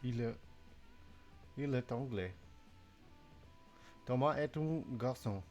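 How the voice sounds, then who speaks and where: A man's voice: medium pitch, takes it slowly, a little mellow, soft background music. A young man from Mexico chatting casually on a live stream, sleepy, rambling and self-deprecating, swearing now and then.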